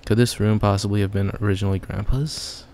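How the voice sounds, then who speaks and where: A man's voice: on the low side, steady tone, fast talker, darkish tone, a little hiss or hum. A young man playing a game casually, reading its text aloud and joking about it. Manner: chatty, reads text then jokes about it. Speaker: gamer.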